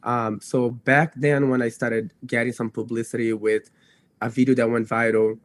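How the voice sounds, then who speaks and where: A young man who grew up in Brazil with Portuguese as his first language talks in a dry, close-sounding space. His voice sits at a middle pitch. He speaks casually as an interview guest, circling back and restarting phrases with plenty of fillers. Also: flat delivery, moderate pace, no room sound. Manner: rambling, self-correcting, frequent "you know" and "like". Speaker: podcast guest, dog trainer. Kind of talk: casual podcast interview, telling own story.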